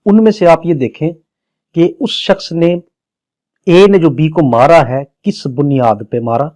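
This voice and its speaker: A man's voice: on the high side, a little mellow. A man with a Pakistani accent giving an explanatory law lecture, going through terms step by step in a teacherly way. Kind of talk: explanatory exam-prep lecture.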